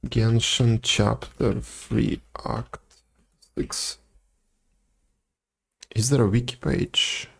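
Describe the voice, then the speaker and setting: A man chatting casually as a live game streamer, musing aloud in a low-key, halting way. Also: steady tone, gravelly, fairly bright tone, breathy edge.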